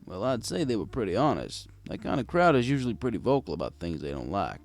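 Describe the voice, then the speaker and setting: Deep-ish, gravelly man narrating a story. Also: big pitch swings, fast talker. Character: world-weary, kindly old goat bartender. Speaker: audiobook narrator. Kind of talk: scripted first-person story narration in character as a bartender.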